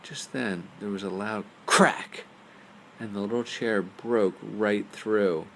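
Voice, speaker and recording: Low, gravelly man reading a bedtime story. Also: steady tone, slow pace, a bit bright, poor audio. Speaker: storyteller, father reading aloud. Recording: faint background noise.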